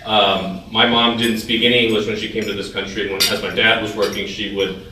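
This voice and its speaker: A man's voice: lowish voice, level tone, a little fast, noisy background. A man in his thirties, raised in California's Central Valley, whose first language was Thai, giving a hesitant talk to a club with many fillers and restarts. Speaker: guest speaker. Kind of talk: informal speech to a club. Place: large dining hall. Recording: echoey hall, mic far off.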